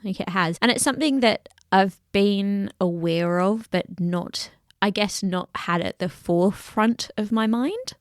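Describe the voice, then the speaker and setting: A woman's voice: medium pitch, measured pace, hardly any pauses, no echo, clean studio sound. A young woman co-hosting a podcast, chatty and laughing often, sometimes dropping to a near-whisper. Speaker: podcast co-host. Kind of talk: casual chat.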